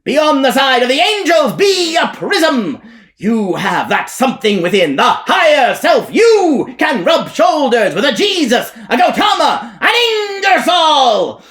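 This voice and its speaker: A man voicing a harsh-voiced male revivalist preacher who hollers a brash sales pitch for salvation. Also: sing-song, a little slow, fairly bright tone, exaggerated voice. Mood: ecstatic.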